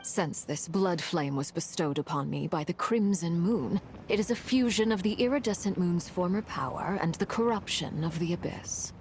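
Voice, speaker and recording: An actress plays a composed noblewoman warrior in a fantasy video game. Her voice is on the low side, and she speaks formally, with solemn, measured authority. Faint noise sits behind her. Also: a little mellow, close to an everyday voice. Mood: solemn, reflective. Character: composed, commanding woman harbinger with cursed blood fire. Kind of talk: dramatic video game voice acting.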